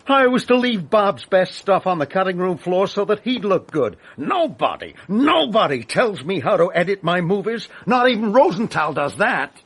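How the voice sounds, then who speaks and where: An actor playing a vain, volatile film director cornered as a killer, ranting and menacing in a radio drama. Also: male voice, sits high, big pitch swings, normal speed, few pauses, a bit bright, breathy edge, heavily acted. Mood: bitter, confessing. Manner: ranting, swinging from menace to outburst.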